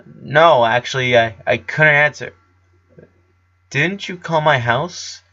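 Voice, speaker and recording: An uneasy young boy, voiced by a man, hesitant and halting as he guards a secret. The voice is bright and tense, in a fan story reading with slightly dull sound. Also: medium pitch, voice pushed up. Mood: hesitant, confused. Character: uneasy eleven-year-old boy hiding a heavy secret.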